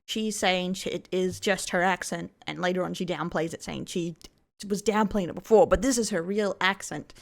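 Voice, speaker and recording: A woman's voice: lowish voice, steady tone, normal speed, gravelly. A woman hosting a commentary video, explaining clips in a skeptical, critical way. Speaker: commentary host. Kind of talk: reaction commentary.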